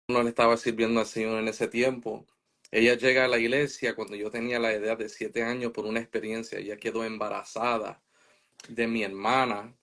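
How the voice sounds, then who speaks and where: A young man from northern New Jersey, a pastor and worship leader, answering interview questions casually and dropping in English fillers. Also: lowish voice. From northern New Jersey, USA. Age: young adult. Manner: relaxed, mixes in English fillers.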